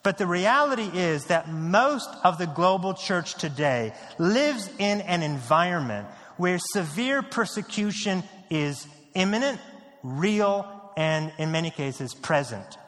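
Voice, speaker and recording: A man's voice: big pitch swings, slow pace. Earnest preacher man in echoing hall. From United States. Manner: earnest, repeats key lines for emphasis; drops low and quiet on grave points. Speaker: pastor. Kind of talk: sermon. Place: church sanctuary.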